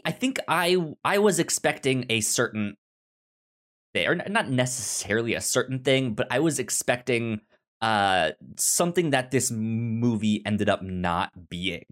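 A man's voice: mid-range voice, fairly bright tone. Guy doing a casual movie podcast chat, kinda stammery, keeps repeating bits of words. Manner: halting, repeats and stutters on words. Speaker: film review podcast co-host.

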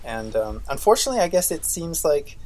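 A man's voice: on the high side, very animated, brisk, a little mellow, low-quality recording. A man, co-hosting a podcast in casual chat, rambling with lots of fillers. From Austria. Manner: rambling, leans on "basically" and "um". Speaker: podcast co-host.

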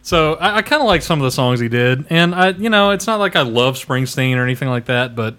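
American man, mid-pitched, chatting casually. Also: lively intonation, brisk, fairly bright tone, clean tone. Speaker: podcast co-host. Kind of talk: casual chat.

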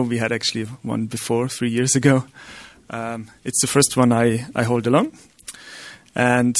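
Man, Austrian German accent, halting lecture delivery, dry close sound. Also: medium pitch, creaky, dry recording. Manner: halting, many ums and restarts. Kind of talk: live conference lecture.

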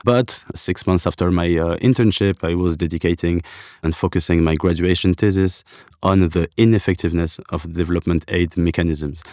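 A man in his thirties from Algeria talks as a podcast guest with a very low voice. He thinks aloud, stopping and restarting often, and the close mic sounds dry with no room around it. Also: steady tone, darkish tone, dry recording. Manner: reflective, halting, many restarts and fillers. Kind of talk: casual conversation.

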